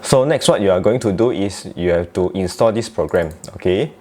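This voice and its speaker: Male tech tutorial presenter, Malaysian accent, low-pitched voice, informal instructional delivery that feels its way through each step. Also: expressive, a little fast, background noise. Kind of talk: casual step-by-step software tutorial.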